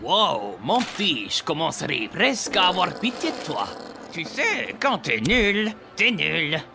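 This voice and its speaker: Creaky, sneering fairground huckster. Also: male voice, high-pitched, big pitch swings, unhurried, heavily acted. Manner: mock-sympathetic, condescending. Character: sly, sneering fairground huckster, condescending old charlatan. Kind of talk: animated series fan dub. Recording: music behind.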